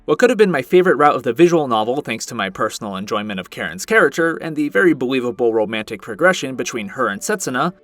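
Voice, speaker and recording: A man's voice: mid-range voice, sing-song, fast, hardly any pauses, fairly bright tone. A man narrating a scripted video review with casual asides, in an emphatic, opinionated, conversational manner. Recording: music playing behind the voice. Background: background music.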